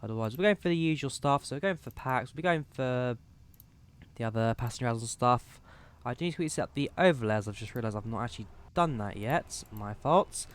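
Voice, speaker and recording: A man's voice: medium pitch, expressive, fairly quick, smooth. Young man talking through a flight sim. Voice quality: slightly worn voice. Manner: talks through cockpit steps, reading out codes and numbers. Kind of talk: casual live commentary while setting up a flight.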